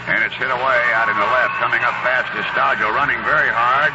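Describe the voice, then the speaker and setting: A man's voice: medium pitch, fairly even intonation, a little fast, dull audio, very noisy. A man with an American accent calling a baseball game play-by-play and reading scores on a 1970s radio broadcast. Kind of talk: live radio sports commentary with out-of-town scores. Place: stadium broadcast booth.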